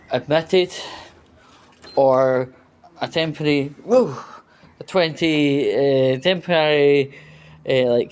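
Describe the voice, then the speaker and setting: A man's voice: mid-range voice, darkish tone, clear, resonant tone. A Scottish man from Scotland talking casually to camera in a rambling way, with fillers and restarts, as he walks through a train station and into a lift. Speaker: vlogger. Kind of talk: casual walking monologue.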